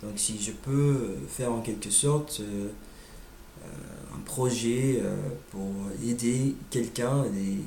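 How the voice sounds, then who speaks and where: A man with an American accent, a native English speaker, slowly talking through his thoughts and searching for words with frequent fillers. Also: medium pitch, dark tone, reverberant, a little hiss or hum. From United States. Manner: hesitant, searching for words with many "euh" fillers. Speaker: language learner sharing his experience. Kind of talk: casual monologue.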